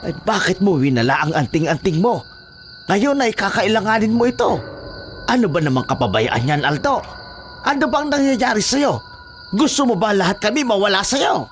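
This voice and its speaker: A man's voice: high voice, big pitch swings, normal speed, voice pushed up. A man voicing an older village man, urgent and reproachful, in a horror audio drama. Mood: scolding. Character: scolding older village man, armed ally. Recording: background music under the voice.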